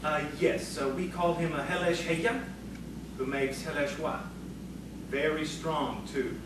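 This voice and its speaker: A man playing a calm, scholarly storyteller in audio theatre, speaking in a measured, knowing, cautioning manner. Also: a little high, close to an everyday voice. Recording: very echoey, distant, noisy room.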